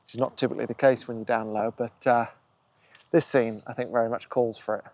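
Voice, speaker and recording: A man of middle pitch talks through his thinking as he photographs outdoors on a windy coast. His delivery is casual and unscripted, a photographer's running commentary on his own work. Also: little pitch movement, brisk, a little mellow. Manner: musing, thinks aloud while working. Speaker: photographer and channel host. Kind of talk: casual vlog narration on location.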